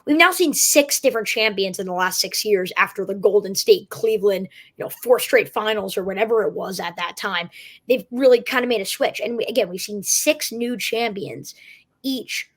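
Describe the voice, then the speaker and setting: A woman hosting a sports show, asking long, steady questions with casual fillers. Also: mid-range voice, moderate pace. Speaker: show host, interviewer.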